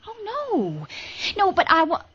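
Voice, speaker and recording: A woman's voice: extremely high pitch, huge pitch swings, a little slow, heavily acted. An actress playing a spirited, scheming young frontier woman in an old radio western, coy and impulsive. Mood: fretful, scheming. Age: young adult. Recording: dull vintage radio recording.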